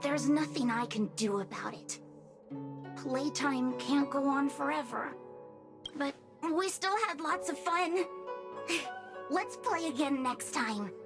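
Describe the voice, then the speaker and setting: A woman voicing a gentle, resigned childlike spirit in a game cutscene. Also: sits high, slow, long pauses. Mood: wistful. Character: wistful childlike spirit, female. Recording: voice over game music.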